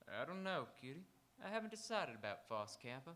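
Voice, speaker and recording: An actor playing a steady, watchful marshal in a live radio Western, folksy but with authority. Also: male voice, mid-range voice, fairly quick. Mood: curious, thoughtful. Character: steady, watchful frontier marshal keeping the peace. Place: auditorium stage, live radio theatre performance.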